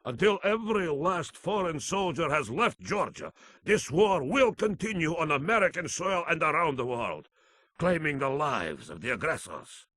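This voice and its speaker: An actor playing a menacing, grandiose Georgian warlord who declaims pompously in a video game. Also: male voice, fairly high pitch, sing-song, normal speed, bright, forceful tone, dry recording, full character voice. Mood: defiant menace.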